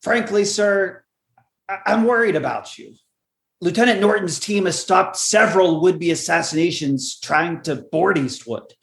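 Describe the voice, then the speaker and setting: A man performs an earnest, worried junior officer in a sci-fi radio play. His voice is high for a man and creaky, hesitant and deferential with frequent stammers. The recording sounds roomy. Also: animated, measured pace, a bit bright, exaggerated voice. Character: earnest, anxious, loyal young male lieutenant. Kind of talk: sci-fi radio drama dialogue.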